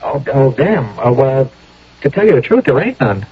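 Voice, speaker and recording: An actor playing a nervous, folksy young American soldier in a radio drama, stammering and deferential, with a husky voice. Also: male voice, mid-range voice, sing-song, normal speed. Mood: flustered. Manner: stammering, hesitant, deferential, ungrammatical. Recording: dull old radio broadcast recording.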